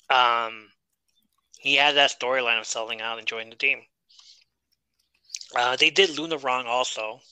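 Bright, crisp-voiced male podcast host. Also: medium pitch, steady tone, a little slow, long pauses, no echo, poor audio. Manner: chatty, reads notes, jokes and laughs. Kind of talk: casual wrestling review chat.

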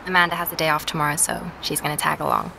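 A curious female podcast investigator in an audio drama. Her voice is slightly low and conversational, with a stubborn, questioning streak. There is clear background noise. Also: little pitch movement, very fast. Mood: musing. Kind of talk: naturalistic audio drama dialogue.

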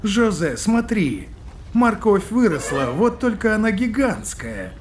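A man voicing a curious, cautioning cartoon companion in a children's show, with expressive, advising delivery. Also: sits high, slow pace, slight background noise, heavily acted. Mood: alarmed, urgent. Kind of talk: animated children's cartoon dialogue.

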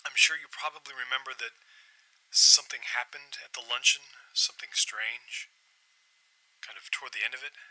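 An actor playing a polite, persistent, obsessed man in an audio drama, speaking in a hesitant, apologetic and halting way. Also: mid-range voice, steady tone, pushed and bright, low-quality recording. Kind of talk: naturalistic audio drama acting.

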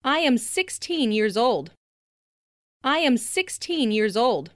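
Female voice, slightly high, very slow and deliberate, lively intonation, dry studio sound. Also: no echo. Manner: careful, deliberate enunciation. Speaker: listening practice speaker. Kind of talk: slow read example sentences.

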